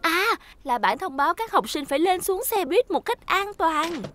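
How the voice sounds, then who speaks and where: Eager female rescue-vehicle cartoon character, high pitch, chatty, music bed. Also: lively intonation, moderate pace. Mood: eager, friendly. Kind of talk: cartoon dubbing. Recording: studio dub with music behind.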